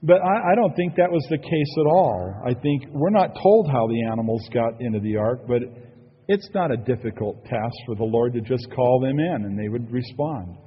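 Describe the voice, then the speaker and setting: Pastor guy preaching, kinda husky, long church echo, slightly muffled recording. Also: mid-range voice, animated, normal speed. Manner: earnest, conversational preaching with frequent "you know". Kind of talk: sermon. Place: church sanctuary.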